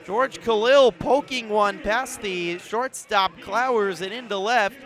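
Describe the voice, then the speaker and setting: Higher-voiced man calling baseball on radio. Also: slow pace, a bit bright. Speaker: baseball radio play-by-play announcer. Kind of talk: live play-by-play commentary. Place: outdoors at a ballpark.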